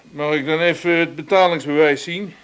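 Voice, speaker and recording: Officious, higher-pitched male utility man played by actor. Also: steady tone, moderate pace, fairly bright tone, clean tone, close to an everyday voice. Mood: insistent. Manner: dry, insistent, officious. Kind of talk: Dutch radio play acting.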